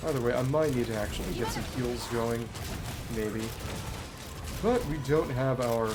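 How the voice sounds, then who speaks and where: Male streamer, medium pitch, live game commentary, understated and wry, thinking aloud, prominent background music. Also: normal speed.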